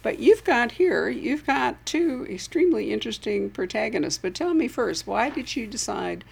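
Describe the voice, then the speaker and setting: A woman's voice: on the high side, expressive, normal speed, vocal fry, a little hiss or hum. A woman hosting a book club interview, effusive and enthusiastic as she asks questions.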